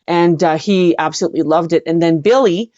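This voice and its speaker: Effusive low-voiced woman, roomy lo-fi sound. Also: a little fast, mellow. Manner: effusive, emphatic repetition. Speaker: guest, rock singer. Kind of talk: casual anecdote storytelling in an interview. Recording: dull lo-fi audio, roomy echo.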